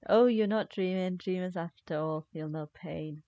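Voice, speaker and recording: A woman's voice: fairly low pitch, moderate pace, dark tone, dry recording, dull audio. A woman streaming a video game, giving casual, playful live commentary and reactions.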